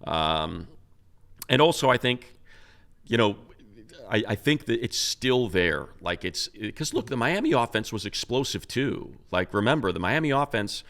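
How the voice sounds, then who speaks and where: A man's voice: mid-range voice, very animated, measured pace, vocal fry, a bit bright. A man co-hosting a casual sports talk show, talking loosely with many restarts and fillers. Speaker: co-host, radio play-by-play announcer.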